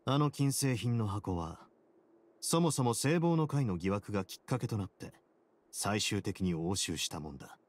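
A man playing a composed, shrewd prison duke in an anime game, explaining things in a calm, measured, confident way. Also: on the low side.

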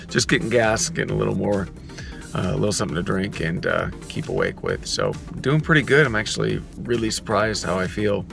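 A man in his thirties films himself in the car at night with a phone held at arm's length. His gravelly voice drifts along in tired, casual chat, full of uhs. Loud music plays under it. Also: sits low. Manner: tired, chatty, with many uhs. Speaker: vlogging dad on a road trip. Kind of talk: casual vlog to camera. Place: inside a car at night and on the road. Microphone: phone camera at arm's length.

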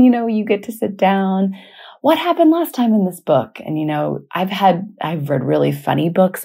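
A woman's voice: lowish voice, dark tone. A woman hosting a podcast as a teacher, talking casually and conversationally with informal personal anecdotes. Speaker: podcast host and elementary teacher. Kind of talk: casual spoken podcast talk.

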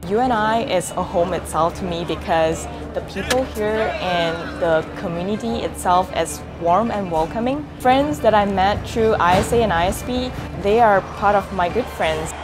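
Young Malaysian-accented woman, mid pitch. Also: moderate pace, few pauses. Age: young adult. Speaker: university student. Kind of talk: promotional interview testimonial. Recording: music behind the voice.

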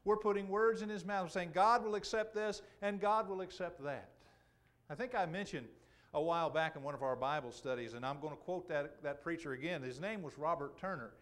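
A middle-aged man preaching an expository sermon in a church, slowing down to stress key phrases. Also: fairly high pitch, fairly bright tone. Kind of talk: sermon, expository Bible teaching. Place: church auditorium, speaking from the pulpit to a congregation.

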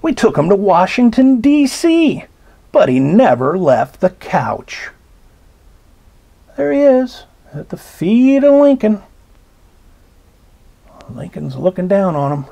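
A man reading a children's picture book aloud, slow and expressive, pausing to point out details in the pictures. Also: high voice, gravelly. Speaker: storybook reader. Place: indoors at a table.

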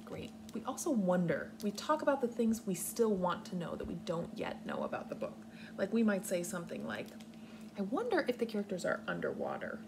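Casting: young adult American woman, kindergarten teacher reading and explaining to children, medium-pitched voice, noisy living-room recording. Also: big pitch swings, a little fast. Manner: instructive, simple wording with clear explanations. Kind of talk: read-aloud lesson for young children. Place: living room on a couch, indoors. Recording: clearly noisy home recording.

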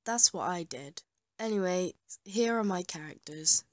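A woman's voice: on the low side, expressive, a little slow. A woman who sounds under the weather, giving a casual announcement to online viewers. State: sounds unwell. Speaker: online animator and host.

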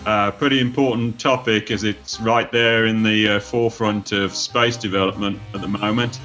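A man's voice: fairly low pitch, steady tone, moderate pace, a bit bright, soft background music. A British man from the United Kingdom, an author speaking as a podcast panelist, talking casually with frequent hesitations. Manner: hesitant, lots of "uh" fillers.

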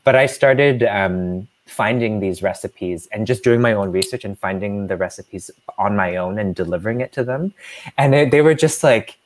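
A young man on a video call telling a relaxed, rambling story. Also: lowish voice, normal speed. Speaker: artist, collective member. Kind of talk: casual panel chat on video call.

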